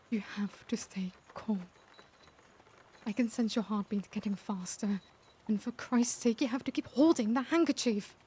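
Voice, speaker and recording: A woman acts out a tender, tormented vampire lady in an intimate ASMR roleplay. Her voice is dark and relaxed, often slipping toward a breathy whisper, with formal, old-fashioned phrasing. Faint-to-clear background ambience sits behind her. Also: mid-range voice, a little hiss or hum, close to an everyday voice. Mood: tense, then agitated, scolding.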